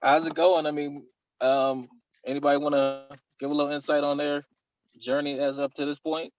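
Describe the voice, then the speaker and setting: A man's voice: on the high side, little pitch movement, normal speed, no room sound. A man making casual, easygoing small talk on a video call. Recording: dull, lo-fi video call audio.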